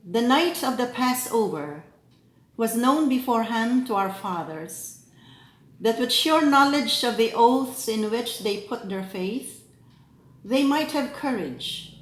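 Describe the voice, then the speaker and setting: A woman teaching scripture readers, reading a liturgical text slowly and deliberately, pausing at each phrase. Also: on the high side, reverberant, a little hiss or hum, poor audio. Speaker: lector trainer. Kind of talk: slow, measured scripture reading and pronunciation drill.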